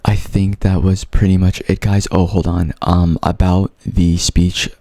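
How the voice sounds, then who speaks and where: A man's voice: deep voice, little pitch movement, a little slow, vocal fry, mellow, dry recording. A young man with a stutter, earnestly telling his own story to his viewers, repeating sounds and words as he goes. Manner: earnest, open. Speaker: vlogger. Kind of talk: personal storytelling to camera.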